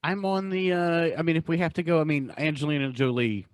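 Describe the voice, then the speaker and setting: A man's voice: a little high, steady tone, brisk, no echo, studio quality. A man in his forties chatting casually as a podcast host, gushing and often repeating himself.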